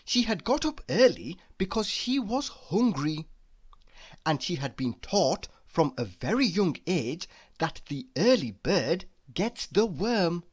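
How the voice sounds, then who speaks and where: A woman reading a children's story aloud, deliberately and with dramatic emphasis. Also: lowish voice, sing-song, darkish tone, slightly rough. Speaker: storybook reader. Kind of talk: expressive children's read-aloud narration.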